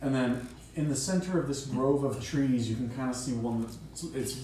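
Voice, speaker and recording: A man running a tabletop game, describing the scene in an unhurried way around a table in a dining room. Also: mid-range voice, warm tone, far from the mic. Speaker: game master. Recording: echoey room, from afar, faint noise.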